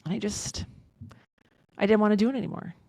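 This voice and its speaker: A woman in her fifties, a podcast guest, talking candidly in an outspoken, emphatic way with a loud voice, swearing freely. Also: mid-range voice, fairly quick, vocal fry, no room sound, studio quality. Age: 50s. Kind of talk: candid casual conversation.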